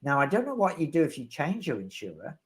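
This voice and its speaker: A man's voice: a little high, huge pitch swings, quick pace. A man answering questions as the resident expert in a live webinar, rambling and wry, with self-deprecating humor.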